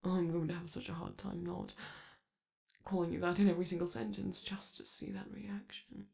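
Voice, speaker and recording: A man voicing a flamboyant, affectionate male robot star who speaks softly and teasingly to his partner in a romantic ASMR roleplay. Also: high-pitched, wildly swooping pitch, moderate pace, creaky, heavily acted. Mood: tender. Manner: soft, doting, teasing, reassuring.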